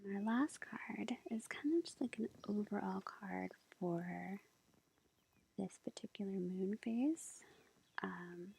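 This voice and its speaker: A woman playing a sweet, gentle young witch girlfriend in an ASMR roleplay, whispering breathily and reassuringly as she reads tarot. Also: mid-range voice, vocal fry, breathy edge, full character voice. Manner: gentle, hesitant, reassuring, unhurried. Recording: lo-fi, faint background noise. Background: faint ambient nature sounds.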